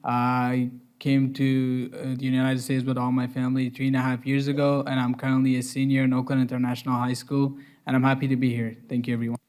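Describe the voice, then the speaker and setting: Young man, flat even delivery, clean voice, formal and polite. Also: medium pitch, brisk, hardly any pauses, smooth. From Afghanistan. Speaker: high school student.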